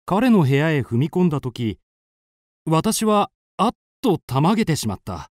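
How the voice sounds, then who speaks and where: Earnest amateur sleuth, highly animated. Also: male voice. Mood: tense narration. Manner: deliberate, dramatic, polite. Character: earnest young male amateur sleuth, confidently accusing his friend. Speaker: narrator and lead character. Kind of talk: radio drama acting and narration.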